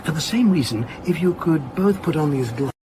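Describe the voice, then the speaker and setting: A man's voice: fairly high pitch, normal speed, background noise, close to an everyday voice. An actor playing a male academic curator in a radio drama, explaining his field with pedantic, self-amused eagerness. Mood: wry, keen. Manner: explanatory, donnish, animated. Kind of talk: naturalistic radio drama acting.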